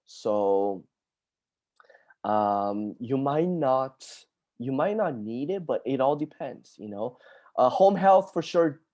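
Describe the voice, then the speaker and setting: A man from Brazil talks loosely on a video call, answering questions in a relaxed, chatty way. His pitch sits in an ordinary middle range, and he keeps tossing in "dude" and "like" as he goes. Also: slow. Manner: casual and chatty, says "dude" and "like" a lot. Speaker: occupational therapist answering student questions.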